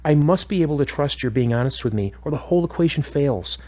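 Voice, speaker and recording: A man acting as a calm, firm, probing therapist in a scripted audio drama, with a measured, instructive and questioning way of talking. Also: a little high. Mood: stern, firm.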